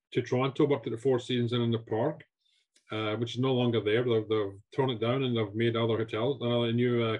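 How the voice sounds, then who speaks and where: A man in his sixties with a Scottish accent, animatedly telling stories of his career as a guest on a video call from his home. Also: mid-range voice. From Scotland. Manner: animated, repeats words, gestures with hands. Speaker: guest chef. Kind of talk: storytelling interview answers. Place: home room with shelves.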